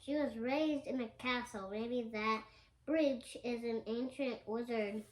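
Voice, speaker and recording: A young girl reads a graphic novel aloud in a high voice. Her pace is slow and halting, as she sounds out words along the way. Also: low-quality recording. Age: child. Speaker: young reader on a home video.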